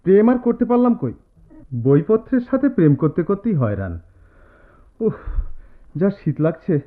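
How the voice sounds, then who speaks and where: An actor playing a quiet, studious young man in a radio drama, speaking reflectively with dramatic pauses. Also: high-pitched, sing-song. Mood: pleading, groggy. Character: quiet, studious young man recalling his student hostel days. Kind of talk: Bengali radio drama acting. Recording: tinny lo-fi radio play, music behind.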